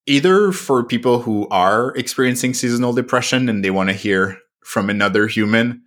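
A man hosting a podcast interview, easygoing and quick to laugh between questions. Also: mid-range voice, fairly bright tone. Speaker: podcast host.

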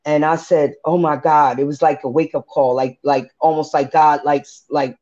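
A woman's voice: low-pitched, fairly even intonation, moderate pace, dark tone. A woman in her forties sharing her personal faith testimony, speaking candidly and earnestly with religious phrasing. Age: 40s. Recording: dull lo-fi podcast audio.

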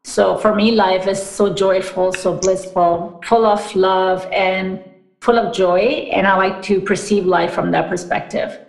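A woman of middle pitch talks in a loose, upbeat way, padding her sentences with fillers as she describes her wellness work. The sound bounces around a noticeably echoey room. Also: level tone, unhurried, creaky. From Pakistan. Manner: upbeat, chatty, many fillers like "like" and "you know". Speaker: podcast guest, wellness business founder. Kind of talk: casual interview chat.

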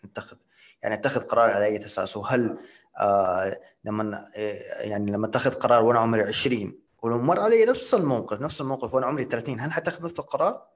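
A man's voice: mid-range voice, expressive, rapid-fire. A man speaking as a podcast guest, explaining ideas through rhetorical questions and English terms. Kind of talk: casual podcast conversation.

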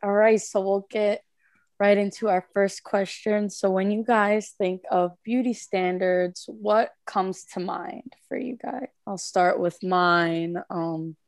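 A woman's voice: mid-range voice, fairly even intonation, takes it slowly, dry recording. A woman hosting a casual podcast, leading a group chat. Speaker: podcast host.

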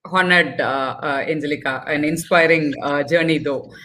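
A woman's voice: low-pitched, moderate pace, fairly bright tone. A woman hosting a podcast interview, introducing and praising her guest in a formal, effusive way. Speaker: podcast host.

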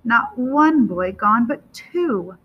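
Woman as a high-voiced, anxious, protective storybook mother. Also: big pitch swings, slow pace, husky, muffled recording, exaggerated voice. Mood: anguished. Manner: emotional, insistent. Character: worried, grieving, fiercely protective mother of a teenage boy.

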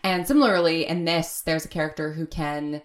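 A woman with a low voice speaks as a podcast guest. She is casual and thoughtful, picking through her ideas haltingly. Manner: halting, thoughtful, frequent "like" and "sort of". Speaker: audio drama writer, podcast guest. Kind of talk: casual interview conversation.

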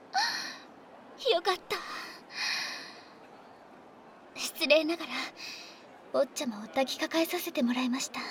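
A woman playing a whispery, high-voiced dragon maid. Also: slight background noise, heavily acted. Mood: possessive. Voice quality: breathy whisper. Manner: formal servant's speech, often soft and whispery. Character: possessive polite female dragon maid with wings and horns. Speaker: voice actress. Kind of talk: ASMR roleplay audio drama.

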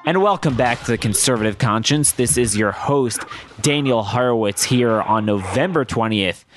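A man hosting a political podcast, hoarse and losing his voice, speaking in emphatic, halting phrases. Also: mid-range voice, faint music behind. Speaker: podcast host. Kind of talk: political monologue and guest introduction.

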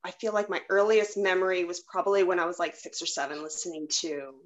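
A woman's voice: on the low side, fast talker, dull audio. A woman hosting a casual podcast chat, talking in an effusive, enthusiastic way. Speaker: podcast host.

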